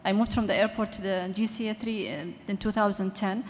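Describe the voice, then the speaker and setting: A woman's voice: medium pitch, fairly even intonation. Woman speaking in a reverberant hall. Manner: earnest, halting with frequent restarts. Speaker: panelist, aviation environment official. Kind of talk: conference panel answer. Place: large conference hall. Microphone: PA microphone. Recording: reverberant hall with faint noise.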